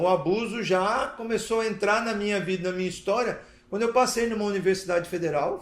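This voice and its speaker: Guy telling his own story, pretty high voice, pauses a lot, roomy echo. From interior of Paraná, southern Brazil. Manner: deliberate, pausing between short phrases, seeks agreement with tags. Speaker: recovery talk speaker. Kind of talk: personal testimony to an audience. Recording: echoey, roomy sound.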